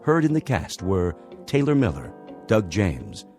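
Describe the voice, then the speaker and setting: A man's voice: sits low, wildly swooping pitch, unhurried, a little mellow, soft background music. A man with an American accent announcing scripted credits for a radio drama.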